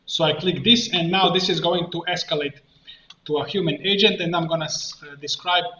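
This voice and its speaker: A man giving an unscripted screen-share software tutorial, explaining step by step in a halting way. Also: on the high side, steady tone. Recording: muffled roomy mic with faint noise.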